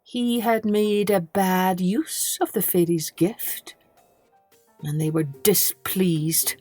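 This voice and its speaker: A woman's voice: fairly low pitch, slow pace, warm tone, husky, dry recording, faint music behind. A woman reading a children's story as a podcast storyteller, expressively, with dramatic emphasis on key words.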